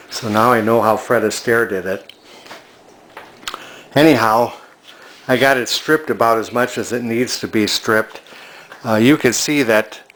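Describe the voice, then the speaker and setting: An older man casually narrating a hands-on project in a halting, plainspoken, matter-of-fact way. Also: medium pitch, fairly bright tone. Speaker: antique restoration hobbyist demonstrating a refinishing project.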